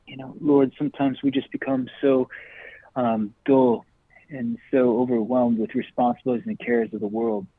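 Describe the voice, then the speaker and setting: A man with a husky voice leads a prayer, earnest and slow. He is heard over a tinny phone line with a dry sound. His pitch sits in the middle of the range. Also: little pitch movement, no room sound. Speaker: radio co-host. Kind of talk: leading a spoken prayer.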